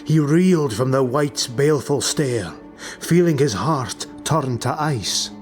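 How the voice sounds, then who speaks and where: A man narrating a fantasy audiobook in a measured, theatrical, dramatic style. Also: mid-range voice, expressive. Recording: music behind the voice. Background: music.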